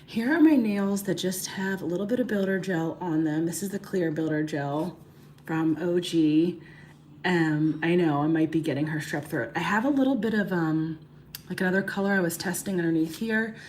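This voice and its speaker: A woman with a hoarse, raspy voice sits fairly low in pitch, sounding like she is losing it. She chats casually through a nail tutorial, greeting viewers by name as she works. A faint hiss of noise runs underneath. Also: normal speed, darkish tone. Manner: chatty, greets viewers by name mid-task. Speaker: beauty livestream host.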